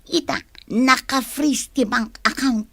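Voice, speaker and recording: A woman's voice: high-pitched, slow, fairly bright tone, no room sound, full character voice. A woman playing a pushy, scheming accomplice in a radio drama, with a pressing, conspiratorial manner. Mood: scheming, insistent. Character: scheming female accomplice, pushy about business money.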